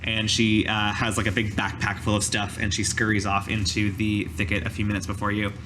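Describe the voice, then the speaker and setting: A man narrating a tabletop roleplaying game as game master, in a halting way with pauses mid-sentence. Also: lowish voice, monotone, noisy background.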